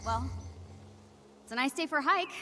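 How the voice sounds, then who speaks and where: A woman's voice: high-pitched, expressive, moderate pace, sharp tone, soft background music. A young woman with an American accent playing an eager, awkward teenage girl hero in a video game, chatty and informal. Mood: casual, curious. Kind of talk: naturalistic video game acting.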